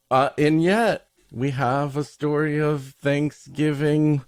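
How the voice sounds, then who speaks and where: A man's voice: on the high side, fairly even intonation, slow pace, clean tone, dry recording. A man hosting a podcast with comedic banter and story introductions, playfully mock-dramatic in his delivery. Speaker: podcast host.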